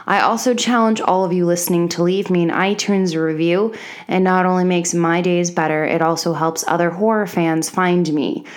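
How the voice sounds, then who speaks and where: A woman's voice: fairly low pitch, steady tone, normal speed. A young woman hosting a podcast in casual chat from her home office, sounding a little sick and congested, and talking with lots of ums and likes. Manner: chatty, many fillers like um and like. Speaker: podcast host.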